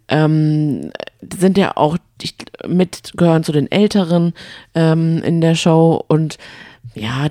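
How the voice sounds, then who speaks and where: A woman's voice: low voice, warm tone, dry recording, studio quality. A woman co-hosting a casual podcast, bantering in a chatty, teasing way. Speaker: podcast co-host. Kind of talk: casual banter recapping reality TV.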